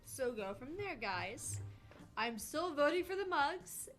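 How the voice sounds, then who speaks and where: A woman co-hosts a gaming stream in a high voice with lively swings in pitch. Her banter is playful and blunt. Soft music sits in the background. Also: takes it slowly. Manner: playful, blunt, sweary interjections. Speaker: co-host of a gaming livestream. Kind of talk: casual bantering chat.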